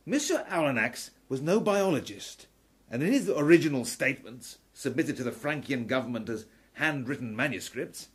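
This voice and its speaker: A man's voice: medium pitch, expressive, moderate pace, husky. A man playing a learned, wry Victorian professor in a steampunk radio play, articulate and dramatically lecturing.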